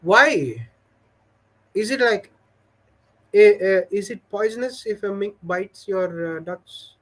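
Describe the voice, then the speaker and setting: Chatty man with a slightly high voice. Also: expressive, slow pace. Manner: rambling, hesitant, full of "uh" fillers; storytelling. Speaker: livestream host. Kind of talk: casual livestream chat, reading viewer comments.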